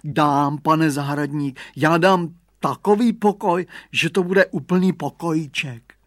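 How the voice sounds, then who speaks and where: Storybook schoolboy rascal, played by a man: raised pitch, whiny, cheeky and eager to wriggle out or show off. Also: expressive, husky, no room sound, clean studio sound. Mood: frightened, babbling. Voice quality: whining. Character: cheeky scamp schoolboy, grovelling and boastful.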